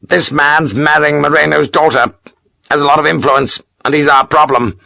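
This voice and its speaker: A man doing a gruff, barking old major. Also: on the high side, steady tone, normal speed, sharp tone, no echo, voice pushed up. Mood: grumbling. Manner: curt, barking, self-important. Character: gruff pious older male army major. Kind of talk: audiobook character voice.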